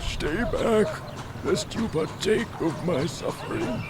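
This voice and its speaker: A man playing a suffering, despairing medieval leper in an audio drama, speaking in a halting, pained way. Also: high voice, animated, a crowd in the background. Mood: pained, warning.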